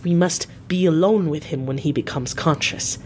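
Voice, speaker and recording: A narrator plays a learned old professor of medicine in a gothic storybook. He is grave and formal, choosing his words with quiet care, and the recording has a noticeable rumbling noise. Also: moderate pace, natural voice. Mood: grave, urgent.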